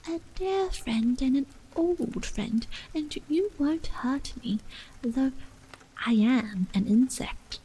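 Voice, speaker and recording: Tiny melancholy insect, high and plaintive, a woman reading it. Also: lively intonation, slow pace, dark tone, breathy edge, heavily acted, rough recording. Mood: pleading. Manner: plaintive and small. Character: tiny, sad, joke-loving insect.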